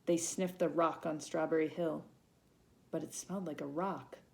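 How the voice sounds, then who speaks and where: A woman with a fairly low, noticeably creaky voice reads a children's picture book aloud. She tells it expressively, leaning on the feelings in the story as a volunteer reader would for young listeners. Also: fairly even intonation, normal speed, darkish tone. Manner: dramatic emphasis on feelings, with short asides to young listeners.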